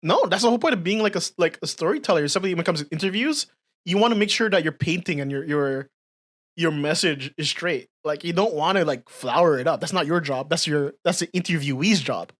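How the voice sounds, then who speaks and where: Podcast co-host, 20s woman, low voice, slight Filipino accent, direct. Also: animated, fast. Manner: direct, laughing, casual swearing. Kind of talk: casual chat.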